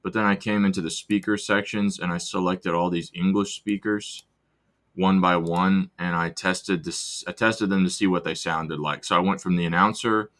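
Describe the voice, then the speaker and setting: A man with a very low voice gives a casual software walkthrough. He talks loosely, restarting sentences as he goes. It sounds like an informal screen recording. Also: steady tone, normal speed. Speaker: video host demonstrating software.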